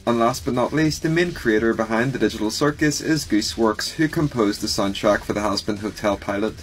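A man reading scripted trivia narration for a short video. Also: mid-range voice.

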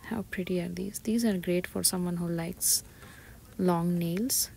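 A woman shopping vlogger giving soft, breathy, often half-whispered ASMR-style commentary as she describes items one by one inside a store. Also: lowish voice, fairly even intonation, unhurried. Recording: store ambience noise behind soft voice.

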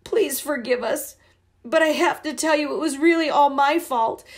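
A woman's voice: fairly high pitch, animated, unhurried. A woman voicing a remorseful little girl who pleads earnestly in a raised voice. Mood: pleading.

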